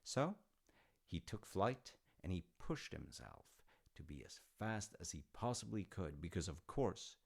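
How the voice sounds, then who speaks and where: A man playing an easygoing dad in an audio roleplay, telling a story conversationally with wry, hesitant asides and a gravelly voice. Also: deep voice, sing-song, a little slow, heavily acted. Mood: amused, storytelling. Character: easygoing dad telling his grown child Norse myths.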